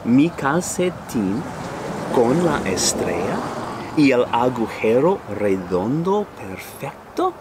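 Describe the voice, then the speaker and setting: Middle-aged male storyteller for a children's read-aloud, very varied pitch, unhurried, some outdoor noise behind. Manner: slow, animated, theatrical delivery. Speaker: storyteller reading a picture book aloud. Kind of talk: expressive children's read-aloud in character voices. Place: outdoors in a fenced backyard.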